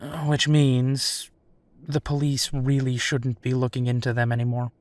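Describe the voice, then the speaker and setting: Casting: male actor for a dogged werewolf private eye in a roleplay audio drama, affectionate and teasing, slow delivery, dry close sound. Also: medium pitch, completely flat delivery, no room sound, close to an everyday voice. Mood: determined, serious. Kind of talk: roleplay audio drama, intimate one-sided dialogue.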